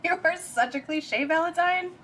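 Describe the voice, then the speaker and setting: An actress playing a tough shapeshifter sidekick with a blunt, teasing manner in an audio drama. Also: female voice, very high-pitched, normal speed, a bit bright, slight background noise. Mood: mocking.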